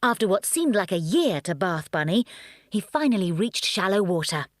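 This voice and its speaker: A woman reading a children's story aloud as an expressive actor-narrator. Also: mid-range voice, normal speed, no room sound, studio quality.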